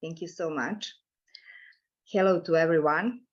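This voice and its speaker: Middle-aged Serbian-born coach, formal measured delivery, lower voice, muffled call sound. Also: female voice. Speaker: coach and commission president. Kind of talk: prepared presentation. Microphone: video call.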